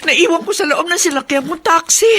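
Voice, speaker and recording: A woman's voice: very high-pitched, a little fast, a bit bright. A woman playing a forgetful, flustered wife in a radio drama. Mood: panicked. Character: forgetful, flustered wife who lost her money.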